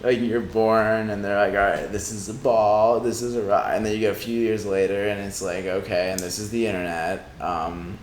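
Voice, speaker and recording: A man in his mid-twenties with a low-pitched voice, talking in a casual, reflective way. He feels his way through each thought haltingly. The recording has a clear room echo and slight noise. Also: steady tone. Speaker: podcast speaker. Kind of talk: casual reflective podcast conversation. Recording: roomy echo with faint background noise.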